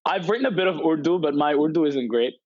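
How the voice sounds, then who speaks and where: A man's voice: on the high side, expressive, fast talker, darkish tone, dry recording. Guest voice: young man, dry dull sound, reflective and candid, loose conversational delivery. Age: 20s. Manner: open, reflective, many "you know" fillers. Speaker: podcast guest, poet and influencer. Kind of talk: casual interview chat.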